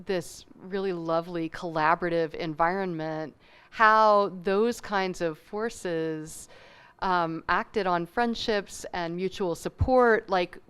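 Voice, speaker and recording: Loud, very clear-voiced woman asking a question. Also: medium pitch. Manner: loud, speaks up without a mic. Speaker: audience member asking a question. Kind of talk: audience question at a museum talk.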